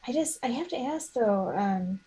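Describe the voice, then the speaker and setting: Soft-edged, husky woman, hesitant game player. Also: on the high side, animated, moderate pace. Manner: hesitant, apologetic, many trailing restarts. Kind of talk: casual chat and improvised role-play.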